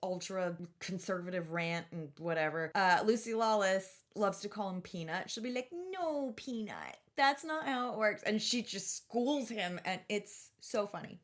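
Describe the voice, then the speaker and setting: Woman, podcast host, medium pitch, casual comedic chat, animated, informal, gushing. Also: a little slow, few pauses.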